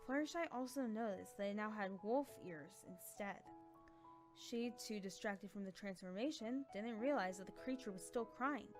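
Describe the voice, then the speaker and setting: A woman's voice: mid-range voice, normal speed. Woman narrating a story over faint music.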